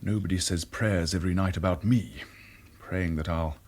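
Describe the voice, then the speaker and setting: A stubborn, embittered former British agent in a naturalistic radio drama. His voice is fairly low and rough-edged with huskiness, and he talks bluntly and tersely, accusing and holding firm to his principles. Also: fairly even intonation, normal speed, a little mellow. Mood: wry, unyielding.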